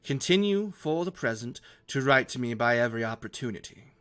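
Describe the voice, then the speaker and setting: A man reading a classic novel aloud as an earnest, romantic gentleman explorer, in formal literary phrasing. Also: medium pitch, animated, a little fast, clean tone, close to an everyday voice. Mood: yearning, resolute. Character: earnest, romantic young gentleman explorer writing letters home.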